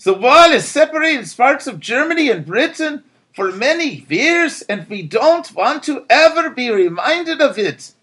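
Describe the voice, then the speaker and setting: Man performing a fervent young rebel, high and loud. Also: very animated, full character voice. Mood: resolute. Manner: loud, drawn-out declaiming. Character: fervent young East German man, crying out defiantly.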